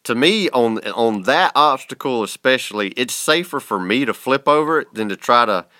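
A man's voice: medium pitch, animated, normal speed, bright, forceful tone. Joking man hosting a casual interview. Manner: joking, teasing. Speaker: interview host.

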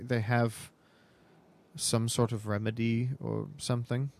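A man playing a hesitant, apologetic, helpful apothecary shopkeeper in improvised tabletop roleplay, speaking haltingly and politely. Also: medium pitch, little pitch movement, creaky, a little mellow.

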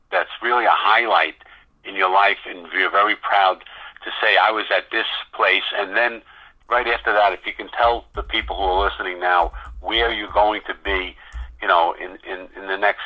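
A man's voice: lowish voice, moderate pace, muffled recording. A man co-hosting a radio talk show, asking long, rambling questions and breaking in with eager reactions. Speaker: guest co-host DJ.

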